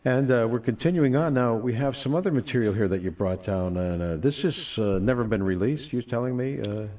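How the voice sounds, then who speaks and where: A man's voice: mid-range voice, lively intonation, fairly quick, warm tone, recorded from a distance. A man hosting a casual radio interview, easygoing and chummy with his guest. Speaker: radio host.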